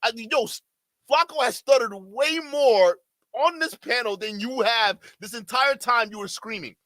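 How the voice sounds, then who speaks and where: A man's voice: very high-pitched, a little slow, sharp tone, no room sound. A man reacting live to a stream, exasperated and incredulous, talking straight to his chat. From Nigeria. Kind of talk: livestream reaction commentary.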